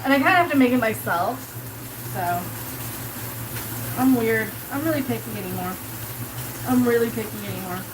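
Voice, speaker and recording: A woman's voice: fairly high pitch, lively intonation, normal speed, pauses often. Rambling South Kansas woman over a sizzling pan. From Colorado. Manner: chatty, rambling, heavy use of "like", answers chat aloud. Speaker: livestreamer. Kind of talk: casual live-stream chat while cooking. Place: home kitchen. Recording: sizzling pan noise behind.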